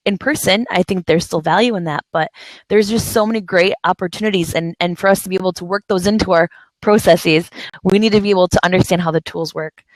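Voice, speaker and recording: A woman's voice: fairly low pitch, fast talker, no room sound, poor audio. A woman from the San Francisco area hosting a casual video chat, talking in loose, rambling sentences full of fillers. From San Francisco Bay Area. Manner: rambling, many fillers and restarts. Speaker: host of a live video chat.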